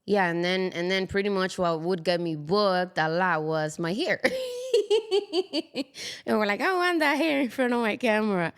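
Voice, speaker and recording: A young woman from the Dominican Republic, a model chatting as a podcast guest, animated and laughing often, with many fillers. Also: mid-range voice, moderate pace, clean tone. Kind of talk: casual interview chat. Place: South Florida.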